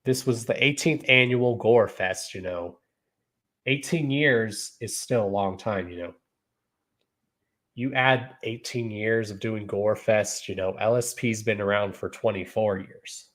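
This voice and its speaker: Laid-back low-voiced man in his thirties. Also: unhurried, a bit bright. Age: 30s. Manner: laid-back, repeats "you know" and "y'all". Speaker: podcast host. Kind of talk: casual monologue to viewers.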